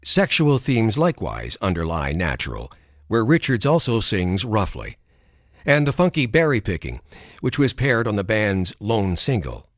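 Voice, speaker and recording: A man narrating a scripted music documentary, reading in a measured, expressive way in a recording studio. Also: lowish voice, no echo. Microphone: studio microphone.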